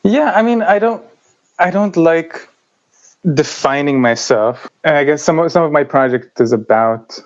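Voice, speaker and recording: A man who grew up in Israel, a writer answering interview questions in a measured, thoughtful way with hesitant pauses. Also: on the high side, dull audio. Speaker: guest, writer and YouTuber.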